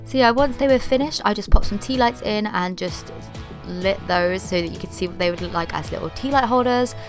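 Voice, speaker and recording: A woman's voice: mid-range voice, fairly quick, music in the background. A young woman vlogging a DIY tutorial in a casual, upbeat way, full of "like" fillers, while she is losing her voice. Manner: chatty, upbeat, lots of "like" fillers.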